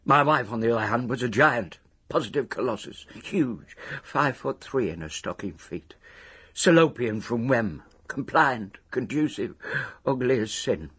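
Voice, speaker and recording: Raspy, obsessive old packer. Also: male voice, medium pitch, animated, measured pace, fairly bright tone. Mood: proud, wistful. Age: older. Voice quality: raspy, husky. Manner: wordy, self-important, working-class grammar with grand phrases. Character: tiny, obsessive, wistful old retired removals packer. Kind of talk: radio drama monologue.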